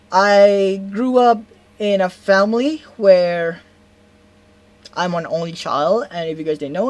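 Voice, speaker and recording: A young woman from Indonesia with an Indonesian accent, telling a story in a casual vlog, rambling, stopping to correct herself and often asking "right?". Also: mid-range voice. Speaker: vlogger.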